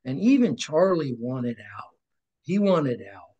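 A middle-aged man speaks over a webcam from a room at home, earnest and rambling as he tells his story. He often restarts his sentences and his voice falls suddenly quiet before coming back up. His pitch sits in a plain middle range. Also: big pitch swings, slow pace, lo-fi sound. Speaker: podcast guest. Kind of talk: emotional personal testimony. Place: room at home with framed picture on wall. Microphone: video call webcam.

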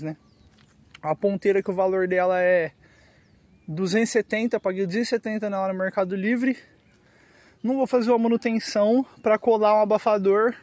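A man with a Brazilian accent and a high-pitched voice speaks in a casual, slangy way. He sounds like a vlogger talking into a handheld phone outdoors, addressing his viewers informally. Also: no room sound. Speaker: motorcycle vlogger. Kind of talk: casual vlog talk to camera, slangy. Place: outdoors on a quiet roadside by trees.

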